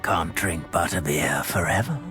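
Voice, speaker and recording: An actor playing a smug, menacing dark-wizard gang boss in a video game, sneering with false politeness. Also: male voice, low voice, lively intonation, a little slow, music in the background. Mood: sneering menace. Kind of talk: cinematic video game acting.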